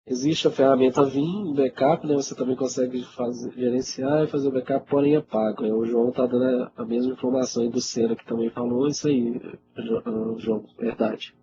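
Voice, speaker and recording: A man's voice: mid-range voice, steady tone, brisk. Congested Brazilian man, noisy lo-fi webinar. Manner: informal, conversational, frequently checks in with listeners. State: congested with a cold. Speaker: IT instructor answering webinar questions. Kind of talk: casual live webinar Q&A. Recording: dull lo-fi webinar audio, loud noise.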